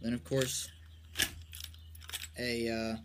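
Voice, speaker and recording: A young man talks casually and off the cuff, as if showing his gear to a friend. His delivery is slow and halting, with little movement in pitch. He is recorded outdoors on a covered porch, with some background noise around him. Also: level tone. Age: young adult, late teens or early twenties. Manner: halting, low-key, trailing off while handling items. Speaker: amateur angler presenting his tackle. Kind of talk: casual unscripted show-and-tell. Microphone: phone or camera at arm's length.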